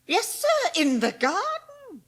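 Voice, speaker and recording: A woman's voice: very high-pitched, wildly swooping pitch, takes it slowly, a bit bright, full character voice. An actress playing a flustered, deferential English housekeeper in a dramatised audiobook, hesitant and anxious as she explains herself. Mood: flustered, baffled.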